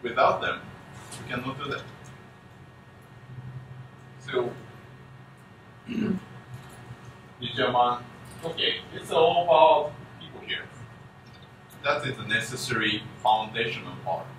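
A man gives a conference keynote talk in halting English full of restarts, speaking from the front of a conference room. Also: medium pitch, lively intonation. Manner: halting non-native English, frequent restarts. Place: conference room with projector screen. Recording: echoey room, distant mic, faint hum.

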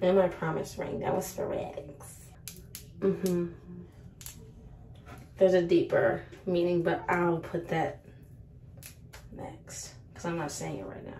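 A woman's voice: fairly low pitch, steady tone, takes it slowly, pauses often. Tipsy young woman rambling to camera. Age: 20s. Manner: rambling, earnest pep talk with many fillers. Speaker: vlogger. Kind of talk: casual vlog talk to camera. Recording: loud background noise.

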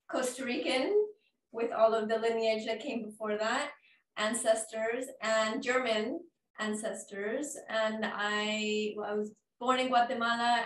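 A woman's voice: on the high side, slight background noise. A young woman in her twenties, a panel guest introducing herself with some hesitations in a living room. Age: 20s. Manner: warm, slightly hesitant, frequent ums. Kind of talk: casual conversation. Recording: echoey room from a distance.

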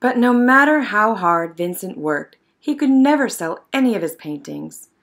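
Lady reading a kids picture book out loud, mid pitched, expressive and measured storytelling. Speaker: storybook reader. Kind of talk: scripted narration, read-aloud of a children's picture book.

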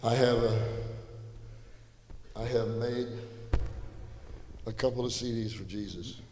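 An older man, a guest musician, telling a relaxed, rambling story with self-deprecating asides at a church, his voice slightly hoarse, on a handheld mic. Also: medium pitch, slow, noisy background. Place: church stage, large indoor room. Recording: reverberant church hall with faint music.

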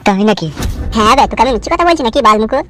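A man's voice: high-pitched, animated, racing, fairly bright tone, slight background noise. A man voicing a scheming, coarse-talking, nervous village crook in a comedy cartoon. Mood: anxious, bickering. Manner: coarse slangy back-and-forth.

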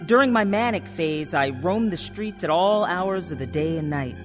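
A woman's voice: lowish voice, lively intonation, normal speed, music in the background, natural voice. An actress playing a troubled adult daughter who tells her own story in a radio drama. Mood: somber, reflective. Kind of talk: radio drama narration and acting.

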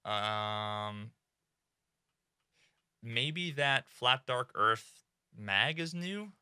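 A young man in casual gaming commentary from a small home room, excitable and swearing freely, with many fillers. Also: medium pitch, drawn-out words, clear, resonant tone, no room sound, studio quality. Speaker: gaming streamer.

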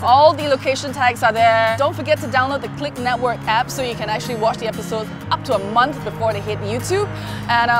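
High-voiced young woman vlogger. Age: young adult. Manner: informal, expressive, mildly sweary. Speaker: web show host. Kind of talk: casual food and travel vlog.

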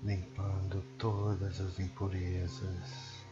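Dull lo-fi recording, Brazilian man, low-pitched voice, hushed and soothing, guided sleep meditation, long pauses. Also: completely flat delivery. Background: prominent meditation music.

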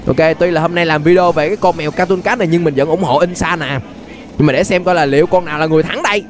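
A young man with a southern Vietnamese accent giving excited, exclamatory gameplay commentary, often repeating phrases as he reacts. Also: a little high, fast talker, slight background noise. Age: young adult. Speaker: gaming YouTuber, host. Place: indoors at a gaming setup. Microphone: desk microphone close to the face.

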